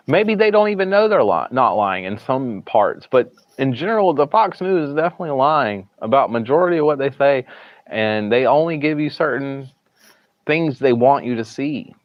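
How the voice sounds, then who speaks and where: A man's voice: medium pitch, no echo. A man with a thick Southern US accent, rambling through a casual livestream from a hospital room and often restarting or trailing off into mumbles. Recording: dry, slightly dull livestream audio.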